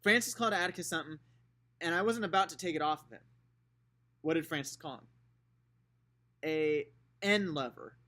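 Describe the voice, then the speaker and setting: A man's voice: on the high side, normal speed, long pauses, pushed and bright, voice pushed up. A man voicing a defiant young girl who argues her side, raised and indignant.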